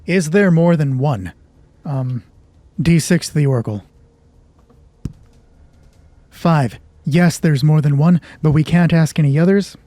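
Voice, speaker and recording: A man's voice: a little high, measured pace, pauses often, mellow, no echo. A man narrating a solo tabletop roleplay as game master, thinking aloud in a conversational way.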